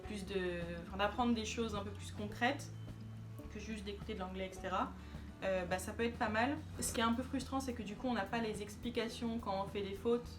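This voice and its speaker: A woman's voice: mid-range voice, steady tone, a little fast, over music. A woman vlogger talking casually to camera, chatty and hesitant with many fillers.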